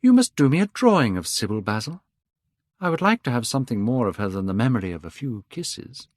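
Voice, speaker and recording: A man's voice: medium pitch, big pitch swings, a little fast, no echo, studio quality, pitched above the performer's own voice. A man reading aloud, voicing a vain, careless young man who sounds bored and dismissive. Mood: cold, insistent. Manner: bored, dismissive, rising to sharp cries.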